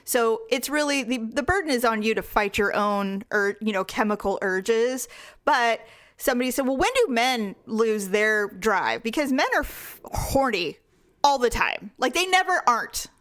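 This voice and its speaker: A woman in her forties co-hosting a podcast, chatting casually with her sister, blunt and frank and quick to laugh. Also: fairly high pitch, lively intonation, fairly bright tone. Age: 40s. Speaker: podcast co-host. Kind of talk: casual chat.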